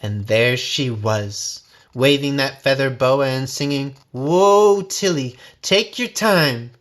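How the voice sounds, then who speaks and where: A man reading a folksy first-person story aloud in a dramatic, expressive, suspenseful way. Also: medium pitch. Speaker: storybook reader.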